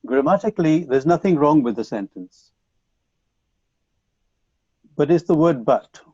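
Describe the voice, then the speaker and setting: A man whose first language is Punjabi, giving an online lecture to teachers in a measured, reflective way with frequent restarts, heard over a video call. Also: a little high, animated, warm tone, slightly rough, no room sound. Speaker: conference speaker. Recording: dull lo-fi video call audio.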